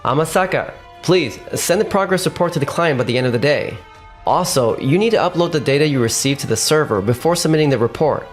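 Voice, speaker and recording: A man playing an earnest, courteous office professional in an anime-style dub, speaking in a polite, measured way. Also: on the high side, lively intonation, lightly acted. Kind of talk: anime-style dramatized dub acting and narration. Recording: music bed behind the voice.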